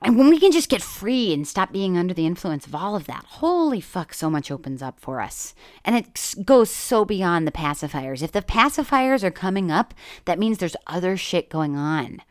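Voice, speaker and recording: A woman podcast host speaking in a lower register that swings widely in pitch as she makes her points. She talks bluntly and emphatically, swears without hesitation, and drops in self-deprecating asides. The sound is dry and close, with no room echo. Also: big pitch swings, moderate pace, few pauses. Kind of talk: candid personal monologue.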